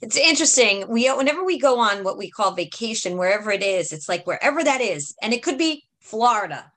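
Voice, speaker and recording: Interview host: higher-voiced woman with a New York accent, enthusiastic and rambling. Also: very animated, brisk, fairly bright tone. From Brooklyn, New York, USA. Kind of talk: casual interview conversation.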